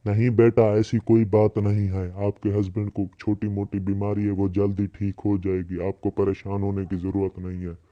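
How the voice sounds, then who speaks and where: A man's voice: fairly low pitch, level tone, normal speed, few pauses, no room sound, close to an everyday voice. A man playing a paternal, instructing older doctor in a cartoon, on muffled audio. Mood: reassuring. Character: paternal older male doctor, advising, easily annoyed. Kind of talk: cartoon dialogue.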